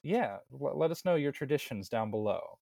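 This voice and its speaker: A young man co-hosting a casual podcast, relaxed and easygoing, often stumbling over his words. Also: medium pitch, normal speed, vocal fry, crowd noise. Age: young adult. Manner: easygoing, stammers and restarts. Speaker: podcast co-host. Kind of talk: casual chat.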